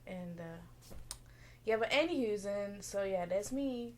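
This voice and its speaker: A woman's voice: medium pitch, animated, slow, darkish tone, slight background noise. A young woman co-hosting a podcast in casual chat, mumbling a bit and laughing often. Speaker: podcast co-host.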